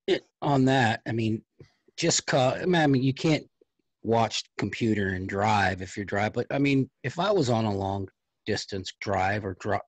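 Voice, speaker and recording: A man's voice: on the low side, measured pace, dry recording. A middle-aged man co-hosting a casual podcast, plainspoken and fond of folksy sayings. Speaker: podcast co-host.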